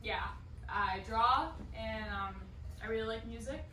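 A young actress plays a shy, friendly young barista who hesitates and fills her talk with ums. Her voice sits high. The sound is far off in an echoey little theater, with audience noise behind. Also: a little slow, pushed and bright. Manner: hesitant, with many fillers. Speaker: stage actor. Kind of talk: live stage play. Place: small theater stage. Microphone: far camera in the audience.

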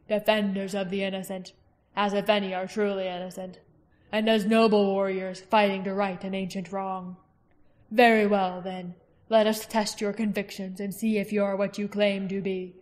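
Female narrator voicing a menacing, slow-talking male king for an audiobook, flat delivery. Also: medium pitch, lightly acted. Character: cruel smooth-talking male tyrant king. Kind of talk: audiobook character reading.

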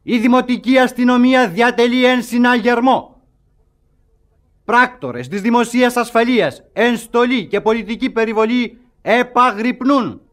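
An actor playing a pompous town crier who declaims an official proclamation in a radio comedy, halting over long words. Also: male voice, very high-pitched, fairly bright tone.

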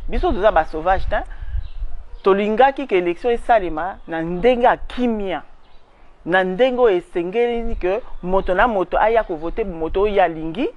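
A woman's voice: medium pitch, lo-fi sound, a little hiss or hum. A woman in her forties with a Congolese accent, giving an emphatic outdoor interview on a lapel mic. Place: outdoors in a garden.

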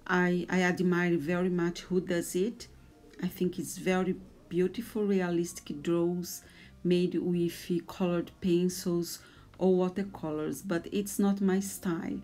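A woman in her forties from Brazil, speaking English with a Brazilian Portuguese accent and talking softly and a little hesitantly as she explains a drawing tutorial. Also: fairly low pitch, little pitch movement, measured pace. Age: 40s. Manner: soft, hesitant, a little nervous. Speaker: art tutor.

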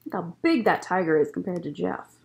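Young female storytime reader, expressive, very lively pitch, unhurried, faintly noisy webcam sound. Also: vocal fry. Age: young adult. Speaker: librarian storyteller. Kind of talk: picture book read-aloud for children. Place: indoor library room.

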